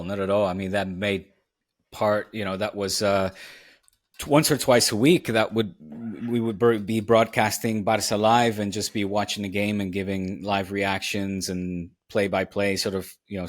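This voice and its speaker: A Dutch-raised man, once a TV presenter, speaks as a podcast guest. His low voice moves through earnest, reflective stories with plenty of fillers. The sound is dry and close-miked. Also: no room sound. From Netherlands. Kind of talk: casual podcast conversation.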